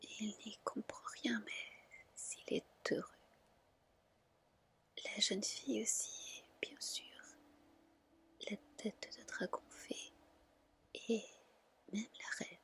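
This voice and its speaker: Slow whispering woman storyteller. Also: mid-range voice, fairly even intonation, husky. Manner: gentle, hushed, deliberate phrasing. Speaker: ASMR storyteller. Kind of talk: whispered ASMR fairy-tale reading.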